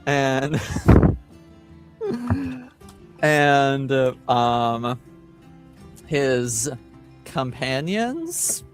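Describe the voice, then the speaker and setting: A man acting as game master, giving a casual recap of a tabletop game session in a halting way with frequent mid-sentence pauses. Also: mid-range voice, sing-song. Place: remote online stream call. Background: music playing underneath.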